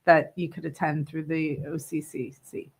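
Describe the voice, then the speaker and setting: A woman in her fifties with a somewhat low voice, talking easily as an interview guest. She laughs often and trails off with small fillers as she tells her story. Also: level tone, normal speed, husky. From northern New Jersey, USA. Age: 50s. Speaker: interview guest, brewery co-owner. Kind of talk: casual interview.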